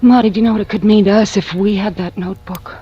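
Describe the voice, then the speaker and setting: An actress playing a scheming, nervy femme fatale in a vintage radio crime drama, conspiratorial and melodramatic. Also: female voice, medium pitch, steady tone, fast, warm tone, husky, close to an everyday voice. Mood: scheming, seductive. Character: frightened, scheming femme fatale, a gangster's lover. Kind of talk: 1940s-50s radio noir acting. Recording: muffled vintage radio recording.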